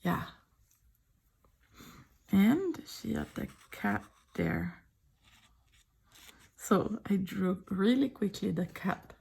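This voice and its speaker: Soft-spoken French woman, gravelly voice. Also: mid-range voice, huge pitch swings, drawn-out words, pauses often. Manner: soft-spoken, often whispering. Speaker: artist showing her sketchbook. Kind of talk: casual chat.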